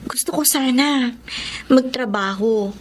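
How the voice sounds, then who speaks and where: An actress playing a pregnant teenage student, the younger sister, who speaks politely and eagerly. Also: female voice, sits high, slow pace. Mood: earnest. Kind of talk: Tagalog radio-style drama acting.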